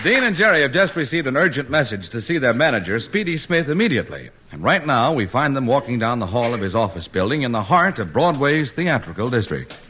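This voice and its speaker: A man announcing a 1940s radio comedy in a formal, booming style. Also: sing-song, fairly quick, sharp tone, a little hiss or hum. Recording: old radio broadcast with applause and band.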